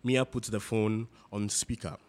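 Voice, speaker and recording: A man's voice: lowish voice, steady tone, unhurried, a little mellow. A man reading literary fiction aloud, narration mixed with characters' dialogue. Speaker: reader.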